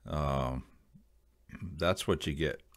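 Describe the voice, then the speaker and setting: A man with a very deep, low-pitched voice hosts an informal explainer vlog. He addresses viewers directly in a casual, rambling style. His delivery is halting, as if working out each point while he talks. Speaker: video host.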